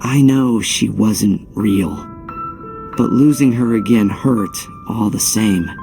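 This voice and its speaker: Earnest young male hero, game cutscene, wistful, determined, reflective, loud backing music. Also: lowish voice, creaky. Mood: wistful, sorrowful. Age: young adult. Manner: heartfelt, reflective, dramatic pauses. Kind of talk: scripted game cutscene acting.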